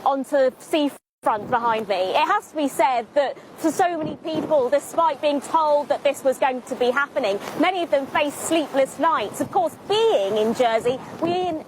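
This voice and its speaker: A woman news reporter delivering a live TV report outdoors on a seafront. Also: sits high. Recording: outdoor report with clear wind noise.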